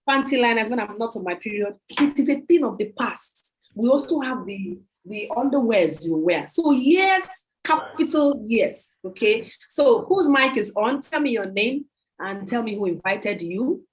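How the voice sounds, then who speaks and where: A woman hosting a video call meeting, giving an emphatic, repetitive sales pitch and taking questions. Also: lively intonation, moderate pace, low-quality recording. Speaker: host of a product sales meeting. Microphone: computer mic on a video call.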